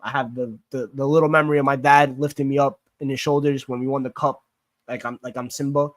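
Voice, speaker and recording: A man's voice: medium pitch, little pitch movement, fast, no room sound. A young man from Greece who grew up in New York and talks with a Queens accent, casually chatting while answering questions from a live stream chat, rambling with plenty of fillers and restarts. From born in Greece, raised in New York. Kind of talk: casual livestream Q&A.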